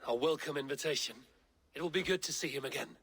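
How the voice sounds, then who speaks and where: A man voicing a proud, gruff Viking warrior in a video game, speaking in measured, plain-spoken phrases. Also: a little high, fairly bright tone, no room sound. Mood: fond surprise. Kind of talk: video game dramatic acting.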